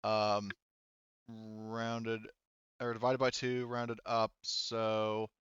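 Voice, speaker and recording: Halting male game master, slightly muffled, dry. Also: fairly low pitch, completely flat delivery, fairly bright tone, smooth, no room sound. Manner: halting, thinking aloud. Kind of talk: casual tabletop game narration and rulings.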